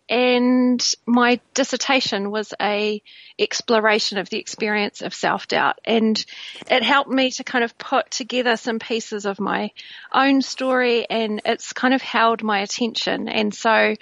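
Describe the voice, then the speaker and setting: A woman's voice: a little high, no echo. Woman guest from New Zealand, candid coach, fillers; dry, muffled remote audio. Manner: warm, candid, lots of fillers and self-corrections. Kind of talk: conversational interview.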